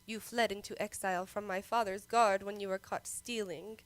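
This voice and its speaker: Young princess played by a woman: haughty, scheming, persuasive, mid-pitched, old-serial radio drama style. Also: steady tone, moderate pace, close to an everyday voice. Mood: coaxing. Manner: imperious, persuasive. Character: wily, haughty young princess of an alien empire. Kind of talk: amateur radio play acting.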